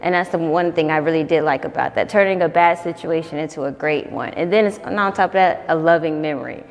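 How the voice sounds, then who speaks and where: A young adult woman volunteering as a reader, reading children's picture books aloud in an expressive, sing-song way. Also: low-pitched, fast, in a large hall. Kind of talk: children's storytime read-aloud.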